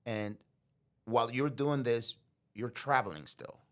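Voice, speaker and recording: A man hosting a podcast in casual conversation, chatty and quick to affirm his guest. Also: mid-range voice. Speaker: podcast host. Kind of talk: casual interview talk.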